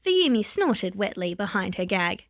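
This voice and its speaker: Woman, story narrator, expressive scripted reading, medium pitch, dry close sound. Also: unhurried, no room sound. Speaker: fan fiction narrator.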